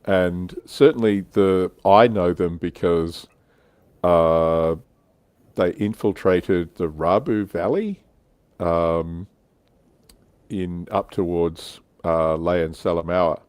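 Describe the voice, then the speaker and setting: A man with a low voice talks through his subject in a relaxed, conversational way. He hosts a casual livestream discussion, explaining as he goes. The sound is dry, with very little room in it. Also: slow, clear, resonant tone, dry recording. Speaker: livestream host. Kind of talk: casual discussion of military history and tabletop wargaming.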